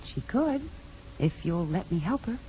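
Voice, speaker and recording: A woman's voice: fairly low pitch, very animated, unhurried, warm tone, a little hiss or hum. An American woman playing a sympathetic, candid married aunt, speaking expressively and confidingly in 1950s radio drama style. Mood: persuasive. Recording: muffled old radio broadcast.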